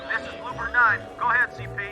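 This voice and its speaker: An actor playing a soldier reporting matter-of-factly through a field radio filter in a radio drama. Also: male voice, extremely high pitch, flat delivery, fast talker, pushed and bright, full character voice. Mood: dutiful. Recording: radio-filtered voice with clear music behind.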